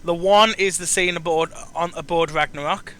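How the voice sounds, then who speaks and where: A man in his twenties with a fairly high, forceful voice talks casually as an interviewer. His delivery is clean and resonant. He is earnest and tends to restate a thought before finishing it. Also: fairly even intonation, moderate pace. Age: 20s. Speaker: interviewer and show host. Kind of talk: casual chat about video games.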